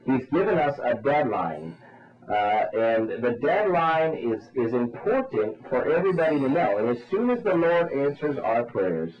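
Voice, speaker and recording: A man's voice: medium pitch, sing-song, a little slow. Muffled man insisting with religious fervour. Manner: insistent, repetitive, religiously fervent. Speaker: radio ministry spokesman being interviewed. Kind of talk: phone interview, preaching fervently. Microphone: phone. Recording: muffled, band-limited radio phone interview.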